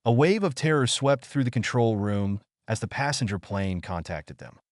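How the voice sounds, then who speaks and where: Guy doing dramatic story narration, lowish voice, pitch moves around a lot, suspense style, super dry sound. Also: expressive, moderate pace, dry recording.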